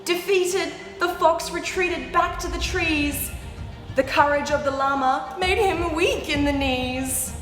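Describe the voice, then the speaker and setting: A woman reading a picture book aloud to young children, expressive, with animated, exaggerated reactions and asides. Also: high-pitched. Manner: animated, exaggerated reactions with gasps and drawn-out words. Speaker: children's storyteller. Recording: roomy echoing hall sound, background music. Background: music.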